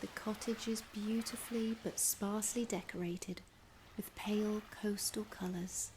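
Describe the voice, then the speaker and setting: A woman narrating a scripted guided imagery meditation in a soft, soothing, breathy voice, gently instructing with long pauses. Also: mid-range voice, steady tone. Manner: unhurried, with long pauses between phrases. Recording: clear background ambience noise.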